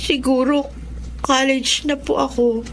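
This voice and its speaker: A woman's voice: high voice, level tone, slow, a little hiss or hum, low-quality recording. A woman with a Filipino accent playing a sad, polite young blind student in a radio drama, plaintive and respectful. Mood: sorrowful.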